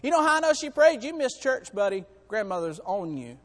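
A hoarse man on church staff preaching a sermon to his congregation, in a folksy way that calls out to them. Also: high-pitched, big pitch swings, a little fast, long reverb tail. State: hoarse, has lost part of his voice. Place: church sanctuary.

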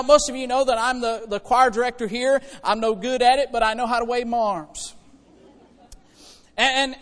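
High-pitched male preacher in a reverberant hall. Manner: conversational and folksy, swinging from hushed asides to loud exhortation. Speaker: preacher and church choir director. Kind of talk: animated sermon to a congregation. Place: church hall, preaching to congregation. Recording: reverberant hall with long echo.

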